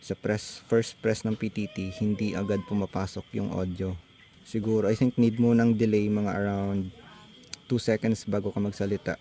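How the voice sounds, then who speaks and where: A man's voice: fairly low pitch, level tone, normal speed, dark tone. A man with a Filipino accent giving a casual hands-on gear demonstration, thinking aloud in a tentative way. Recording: faint background noise.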